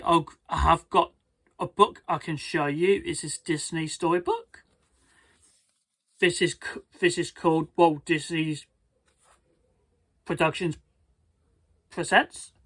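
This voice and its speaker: A storybook reader and video host with a halting, careful delivery and stumbles, reading aloud in a husky voice. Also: medium pitch, a bit bright, no echo. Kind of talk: read-aloud storybook narration.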